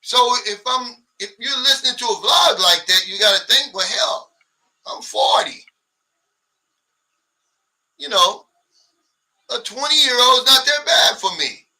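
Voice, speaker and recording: A man's voice: very high voice, unhurried, pauses often, sharp tone, far from the mic, low-quality recording. A man in his sixties hosting a casual livestream, reading viewer comments aloud and answering them bluntly, sometimes mimicking others' complaints. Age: 60s. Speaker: livestream host.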